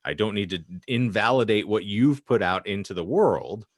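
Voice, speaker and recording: A man's voice: on the low side, lively intonation, normal speed, dry recording, studio quality. A man hosting a podcast panel discussion, speaking in an articulate, wordy and wry way. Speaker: podcast host.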